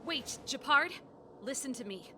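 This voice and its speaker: A woman's voice: high voice, expressive, unhurried, sharp tone, a little hiss or hum, full character voice. A woman playing an earnest, principled rebel elder sister in a video game, with a resolute, pleading delivery. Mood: wistful. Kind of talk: dramatic video game voice acting. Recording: game music under the voice.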